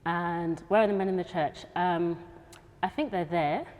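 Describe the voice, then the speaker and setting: A woman in her thirties from Sheffield, animated as she introduces herself. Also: on the low side, unhurried. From Sheffield, England. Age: 30s. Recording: hall reverb.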